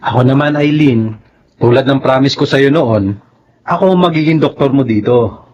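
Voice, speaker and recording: A man acts out a devoted Filipino doctor in a radio drama. His voice is husky and medium-pitched, supportive and reassuring, on a slightly dull recording. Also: normal speed, a little mellow, close to an everyday voice. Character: loyal, supportive adult male doctor, warmly promising help.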